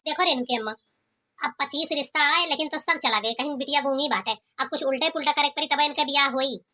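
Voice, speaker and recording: A woman's voice: high-pitched, fast talker, pushed and bright. A woman voicing a self-important, scheming village headman and father in a cartoon, with an Awadhi accent. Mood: scheming, bossy. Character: bossy, scheming village headman, older father of two daughters. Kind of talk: cartoon comedy voice acting.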